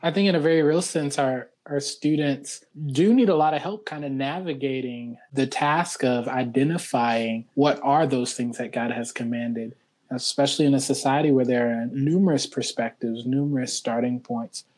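Man, podcast host, measured interviewer asking probing questions; mid-pitched voice. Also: darkish tone.

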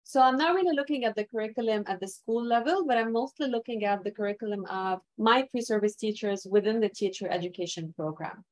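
A woman's voice: medium pitch, quick pace, few pauses. A young woman from Lebanon whose first language is Arabic, giving thoughtful, self-correcting answers as a guest researcher in an interview. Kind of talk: academic interview.

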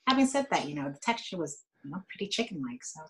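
A woman whose accent blends Ghanaian, British and American sounds talks casually as a podcast guest. Her voice sits low, and she fills her pauses with frequent ums. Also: very animated, fairly bright tone. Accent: mixed Ghanaian, British and American.

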